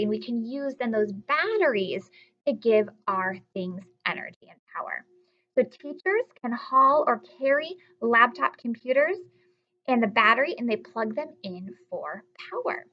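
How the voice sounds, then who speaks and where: A woman with a fairly high voice speaks as an elementary teacher reading aloud to children. She explains in simple terms and puts encouraging questions to her young listeners. The recording sounds somewhat dull and lo-fi. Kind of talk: read-aloud lesson for children with asides and questions.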